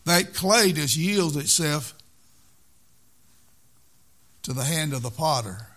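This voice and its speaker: Older guy preaching, kinda high-ish voice, earnest and repetitive. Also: expressive, takes it slowly, fairly bright tone. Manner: earnest, repeats phrases for emphasis. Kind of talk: sermon.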